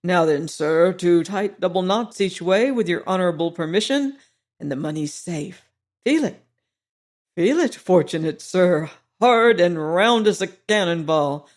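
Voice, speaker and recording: A hoarse old French soldier, loud and fawning, spurring on a gambler with exclamations, as a narrator puts him on. His voice sits high and carries brightly in a storybook reading. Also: a little slow. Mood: jovial, eager. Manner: effusive, flattering, exclamatory.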